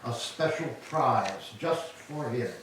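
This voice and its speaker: A man's voice: medium pitch, animated, slow, fairly bright tone. A man playing an evasive Greek herald bearing grim news, with emphatic delivery in a staged tragedy reading. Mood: brisk, insistent. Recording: distant, echoey hall with background noise.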